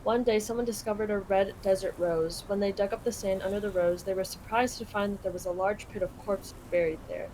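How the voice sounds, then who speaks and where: A woman's voice: mid-range voice, steady tone, brisk, few pauses, warm tone. A young adult woman from Canada casually translating a game live, reading lines aloud and paraphrasing informally. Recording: clearly noisy home recording.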